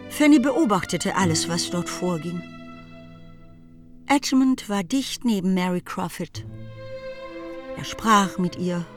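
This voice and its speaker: A woman delivers scripted literary narration for a radio drama. Her pitch is in the middle range, and music is clearly audible behind her.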